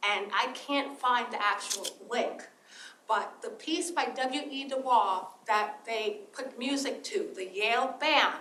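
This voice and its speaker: A woman from Trinidad asks a slow, hesitant question from the floor, with many pauses. She sounds far from the mic in a reverberant room, with a faint hiss underneath. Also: on the high side, sharp tone. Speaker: audience member asking a question. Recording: distant roomy audience pickup.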